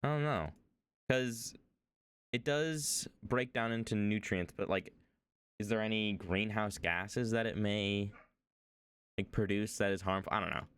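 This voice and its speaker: A man's voice: fairly low pitch, a little slow, pauses often, fairly bright tone, clean tone. A young man streaming as he reacts to a video, talking casually, rambling and hesitant, with lots of fillers.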